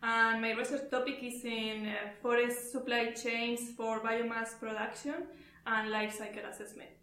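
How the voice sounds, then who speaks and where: A woman from Spain, a doctoral student, giving an unhurried self-introduction. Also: fairly high pitch, little pitch movement, bright, forceful tone. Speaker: PhD student. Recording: echoey room.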